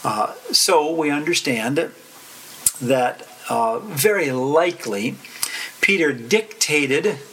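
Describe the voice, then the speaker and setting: A man giving a Bible study lecture, talking deliberately with many "uh" fillers, repeating verse references and now and then clearing his throat. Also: fairly high pitch, lively intonation, pushed and bright, a little hiss or hum, poor audio. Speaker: preacher.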